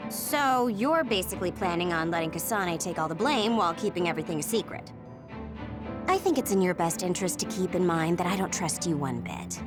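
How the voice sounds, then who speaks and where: A woman's voice: lowish voice, animated, brisk, lightly acted. An actress voicing a savvy, blunt young woman hacker in an anime game dub, with a casual, sardonic manner. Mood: sharp, distrustful. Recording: music behind the voice.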